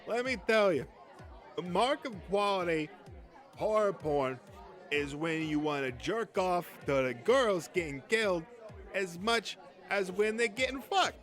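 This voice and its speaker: Man playing boastful, crude horror fanatic, animated delivery. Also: high voice, a little slow, soft background music, some chatter behind. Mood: bragging. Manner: swaggering, profane storytelling. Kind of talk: comic dub character acting.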